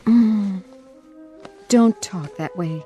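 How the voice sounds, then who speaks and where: An actress playing a caring, worried granddaughter in an old-time radio drama, speaking gently and coaxingly. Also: female voice, medium pitch, drawn-out words, soft background music.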